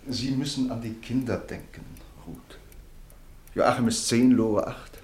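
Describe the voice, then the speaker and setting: An actor playing a caring young doctor in an old radio play, gentle and concerned. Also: male voice, mid-range voice, a little slow, lightly acted. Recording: old mono radio drama.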